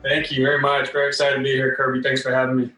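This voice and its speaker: Earnest man, flat, heard from a distance. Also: medium pitch, rapid-fire, soft background music. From Philippines. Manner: earnest, repeats words as he starts phrases. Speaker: podcast guest, real estate investor. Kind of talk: conversational interview. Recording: roomy, distant sound.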